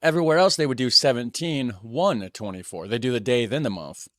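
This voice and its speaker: A man's voice: mid-range voice, a little fast, dry recording, studio quality. An American man hosting a reaction video, chatting casually and thinking aloud as he reacts to clips. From United States. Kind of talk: casual commentary.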